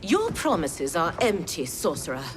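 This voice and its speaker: An actress playing a wary, sharp-tongued warrior guard in a video game cut-scene, formal, cutting and distrustful. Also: female voice, on the low side, huge pitch swings, normal speed. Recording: very loud music underneath.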